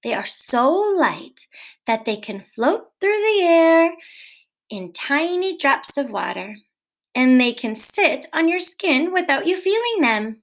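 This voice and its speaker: A woman teacher reading a children's book aloud in a gentle, clear, expressive way, posing questions to young listeners. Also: high-pitched, slow pace. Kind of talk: read-aloud storytelling for children.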